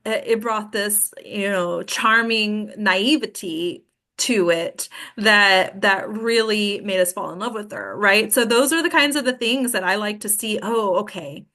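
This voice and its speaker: A woman leads a group discussion as host and acting coach. She teaches in a casual, conversational way and keeps checking in with quick tag questions. Her pitch sits in the middle range for a woman. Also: bright, forceful tone.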